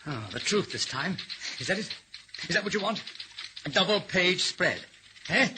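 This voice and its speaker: An actor in a radio drama playing a middle-aged newspaperman, guilt-ridden and halting, cracking under pressure. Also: sits high, very animated. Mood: bitter, accusing. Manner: halting, repetitive, overwrought. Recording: hissy old radio broadcast recording.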